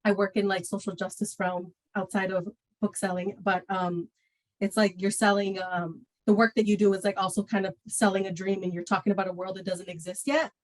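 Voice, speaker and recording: A woman's voice: mid-range voice, flat delivery, a little fast. An American woman co-hosting a virtual book event as a bookseller, reflective and hedging her questions with many fillers. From United States. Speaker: bookseller co-host.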